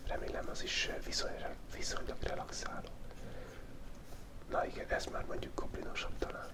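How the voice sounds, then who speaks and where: An ASMR creator whispering breathily in a playful, musing way while narrating a drawing. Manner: playful, joking, musing aloud. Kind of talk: whispered ASMR, rambling casual drawing commentary. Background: writing and drawing sounds, keyboard clicks.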